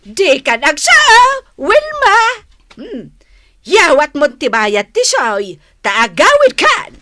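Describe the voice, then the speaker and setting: Casting: older storekeeper woman, high, slow, exasperated, shushing and sighing, radio comedy. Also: wildly swooping pitch, bright, forceful tone, dry recording, studio quality, heavily acted. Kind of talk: Ilocano radio comedy drama acting.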